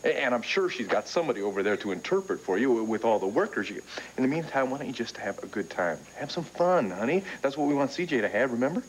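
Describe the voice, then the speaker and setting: A man's voice: medium pitch, fast, a little hiss or hum, close to an everyday voice, low-quality recording. An actor playing a cheerful young husband who keeps reassuring his wife. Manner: cheerful, encouraging, lively. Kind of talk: daytime soap acting.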